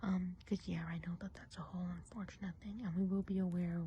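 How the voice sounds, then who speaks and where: Gossipy witch of the woods, played by a woman, ASMR roleplay. Very soft, warm, breathy whispering, gentle and chatty; slight background hiss. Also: fairly low pitch, flat delivery, highs cut off, natural voice. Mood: gentle, friendly. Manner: chatty, gentle, unhurried musing. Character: friendly gossipy witch of the enchanted woods, planning a party.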